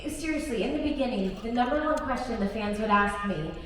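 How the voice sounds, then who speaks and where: Woman, fairly high voice, animated storytelling, echoey hall from far off. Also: a little fast, a little hiss or hum. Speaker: panel guest, voice actor. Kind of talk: panel anecdote. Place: large convention stage hall.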